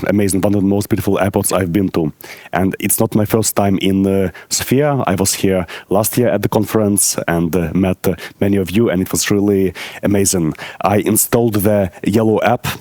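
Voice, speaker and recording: A man from Ukraine in his thirties giving a conference talk on stage, speaking haltingly with many filler pauses and friendly asides to the audience. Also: on the low side, a little mellow, dry recording.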